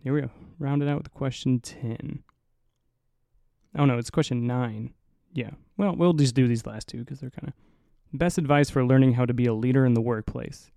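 A young man hosting a solo podcast in a low, mumbly voice, rambling and swearing casually, right up on the mic. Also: moderate pace, gravelly, dark tone, no echo, studio quality. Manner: rambling, profane, self-mocking. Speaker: podcast host. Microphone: close to the mic.

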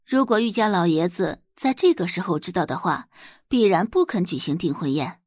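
A woman's voice: on the low side, animated, normal speed, darkish tone, no echo, clean studio sound. A woman giving dramatic scripted narration as the narrator of an audio drama.

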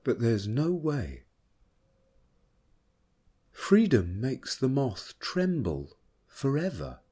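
A man giving a dramatic, measured reading of a poem in a husky voice. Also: medium pitch, wildly swooping pitch, warm tone. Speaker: poetry reader.

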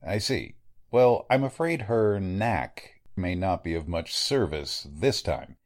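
A man playing a gruff, authoritative veteran coroner with a formal, emphatic manner in a 1940s-style radio drama. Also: low-pitched, animated, takes it slowly, exaggerated voice. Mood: grave, perplexed.

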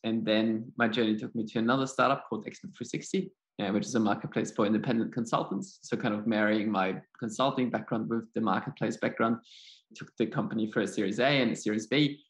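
A man's voice: on the low side, fairly even intonation, fast talker, poor audio. A man from Austria with a German accent, answering interview questions in an informal, modest, conversational way. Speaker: podcast guest, startup accelerator chief executive.

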